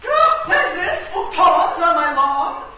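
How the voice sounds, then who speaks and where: Woman playing a grand, high-voiced chess queen, slow. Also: sing-song, full character voice. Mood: indignant. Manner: lofty, florid, dramatic. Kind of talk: comic stage radio play acting. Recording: echoey room, distant mic, faint noise.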